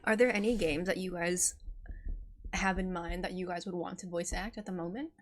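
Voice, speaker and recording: A woman co-hosting a casual podcast in a fairly low voice. She tells stories in an easy, relaxed way. She drops in quick questions and reactions. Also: slight background noise. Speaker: podcast co-host and streamer.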